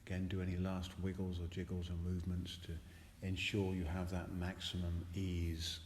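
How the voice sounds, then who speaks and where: A man leading a guided relaxation meditation as a teacher, soothing and hypnotic, repeating phrases between long pauses. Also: sits low, completely flat delivery. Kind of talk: guided relaxation meditation, spoken live to a group. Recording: echoey room with long reverb, faint noise.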